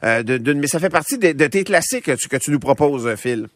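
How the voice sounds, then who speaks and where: Male radio host, slightly high, lively intonation, chatty and friendly. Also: fairly quick, dry recording. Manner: friendly, chatty, interjects often. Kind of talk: casual radio chat.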